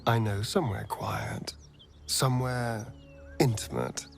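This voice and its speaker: An actor playing a flirtatious, self-indulgent vampire rogue in a video game, with an arch, theatrical drawl. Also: male voice, fairly low pitch, slow pace, creaky, faint music behind. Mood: seductive. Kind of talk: video game character acting.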